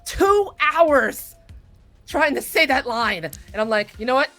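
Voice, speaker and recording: A woman voice actress chatting casually as an interview guest, self-critical and animated, acting out her inner thoughts as she goes. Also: high voice, slow pace, faint music behind. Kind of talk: casual chat while playing a video game.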